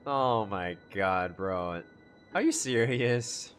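A man's voice: on the low side, slow, a bit bright. A man gushing in slangy, casual livestream commentary, full of bro and dude, as he reacts to a game. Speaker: live streamer reacting to game cutscenes. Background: game music behind the voice.

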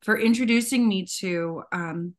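A woman's voice: mid-range voice, a little slow. A woman from Southern California recalling her childhood reading on a video-call author panel. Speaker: debut novelist on a panel. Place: home room.

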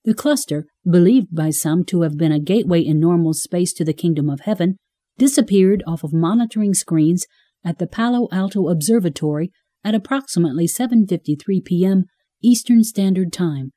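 A woman playing a dark-toned, dry newsreader. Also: lowish voice, normal speed, no echo, natural voice. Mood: formal. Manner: formal, even newsreader delivery. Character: female future news anchor, crisp and formal. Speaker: newscaster. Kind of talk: broadcast news reading in a radio drama.

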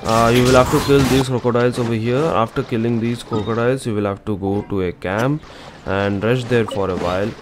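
A man's voice: medium pitch, steady tone, a little fast. A man narrating a game walkthrough as an informal, instructional gaming channel host. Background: game music.

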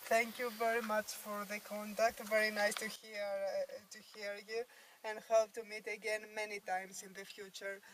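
A woman making a courteous, procedural ham radio contact, spelling out call signs with halting care. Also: medium pitch, little pitch movement, a bit bright. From Greece. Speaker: amateur radio operator. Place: inside a parked car at night. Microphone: camera in the car, speaking into handheld radio mic. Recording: noisy car interior, distant from microphone.

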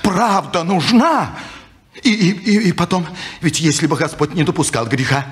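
An actor playing a pious, anxious old watchmaker in a 1950s radio drama, cornered and pleading. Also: male voice, high-pitched, lively intonation, normal speed. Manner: wheedling, pleading, drawn out.

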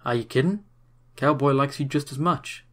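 Woman playing a cheeky young newsboy, deepened voice, teasing, fan audio drama. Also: normal speed, fairly bright tone.

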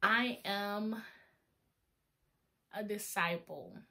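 A woman's voice: medium pitch, drawn-out words, vocal fry, bright, forceful tone. A seventeen-year-old girl from Honduras with a Honduran Spanish accent, chatting to a webcam in her small bedroom and often restarting her sentences. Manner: chatty, restarting and laughing at herself. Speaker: vlogger sharing testimony. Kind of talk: casual talking-head vlog. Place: small bedroom with a flag on the wall. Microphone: webcam in front of her face.

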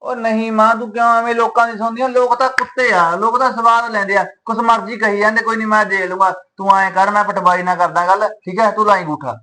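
A man's voice: high-pitched, fairly even intonation, fast, hardly any pauses, pushed and bright. A man playing a young son caught between his wife and his mother, pleading and reasoning with both. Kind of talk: Punjabi family drama acting.

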